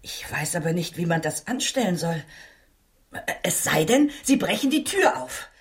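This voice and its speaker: A woman's voice: lowish voice, big pitch swings, normal speed. An actress playing an anxious, obliging landlady in a German radio drama, polite and hesitant, repeating herself. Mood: worried, uncertain. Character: fussy, anxious, obliging female London landlady.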